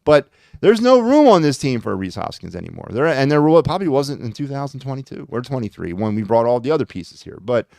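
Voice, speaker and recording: A man's voice: medium pitch, darkish tone, no echo, studio quality. A man hosting a solo sports podcast, talking in a rambling, blunt and sarcastic way with lots of fillers. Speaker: podcast host.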